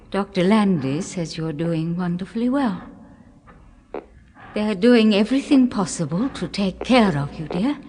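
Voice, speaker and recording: Reverberant noisy 1970s radio drama, doting British wife, genteel, unhurried, swooping intonation, quietly possessive. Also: female voice, medium pitch, slightly rough. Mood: tender, solicitous. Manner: genteel, deliberate, cooing endearments. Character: softly doting, quietly possessive wife of middle age or older.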